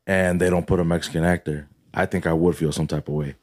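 A man with a deep voice in a dry, close recording. He talks casually as a podcast co-host, laid-back and noncommittal. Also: steady tone, quick pace, a little mellow, dry recording. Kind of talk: casual group chat.

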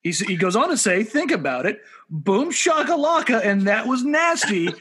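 A male podcast co-host with a high-pitched, bright voice. He chats casually and relays listener questions, starting haltingly and repeating words before he gets going. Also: big pitch swings, slightly rough.

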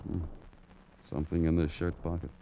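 An actor playing a tough, dry police detective who questions in short, clipped lines, in old-time radio crime drama style. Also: male voice, low-pitched, flat delivery, fairly quick, gravelly, dull audio. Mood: cool. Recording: noisy vintage radio recording.

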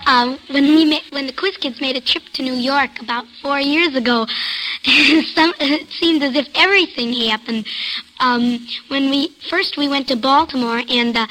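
High, bright, giggly young female panelist. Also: rough recording. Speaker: quiz show panelist. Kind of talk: giggly anecdote telling.

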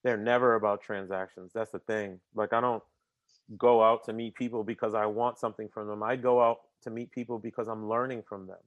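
A man's voice: on the low side, brisk, no room sound, muffled recording. Talkative man with a dry close sound. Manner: energetic, talkative, repeats words when starting sentences; uses casual slang like "man" and "bruh". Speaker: podcast guest. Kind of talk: casual interview conversation.

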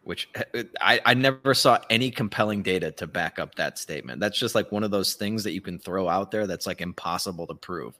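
A man's voice: low voice, fairly quick, hardly any pauses, pushed and bright. A man co-hosting a casual live podcast, talking in a mocking, sarcastic way and often chuckling as he speaks. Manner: swears casually. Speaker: podcast co-host.

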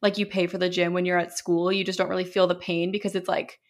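A young woman co-hosting a podcast in casual chat, talking loosely with many fillers and a slightly hoarse voice. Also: lowish voice, little pitch movement, quick pace. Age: young adult. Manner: chatty, lots of fillers. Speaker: podcast co-host.

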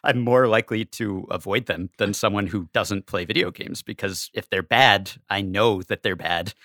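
A man with a slightly low voice talks as a podcast guest. He is casual and analytical, with a wry humor. The sound is close and free of room echo. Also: moderate pace, fairly bright tone.

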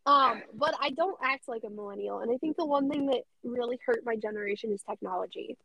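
A young woman with a high, squeaky voice, chatting casually and bubbly as a podcast guest. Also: fairly quick, creaky, fairly bright tone, no echo, low-quality recording. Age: young adult. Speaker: radio host guest.

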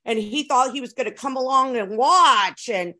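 A frank woman with a voice that sits a bit high tells her stories in a loose, animated way. She swears freely, restarts her sentences and keeps throwing in asides. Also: moderate pace. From California. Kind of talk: casual storytelling chat.

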